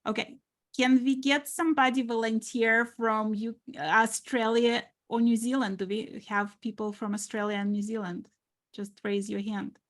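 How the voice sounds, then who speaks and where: A woman's voice: fairly high pitch, level tone, normal speed. A woman co-hosting a casual video call party, friendly and organizing, laughing often. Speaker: co-host. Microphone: video call mic.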